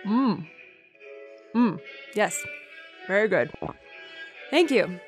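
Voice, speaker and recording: A woman's voice: mid-range voice, lively intonation, very slow. A woman playing a self-conscious, defensive grocery shopper who over-explains. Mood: feigned nonchalance. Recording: faint music behind.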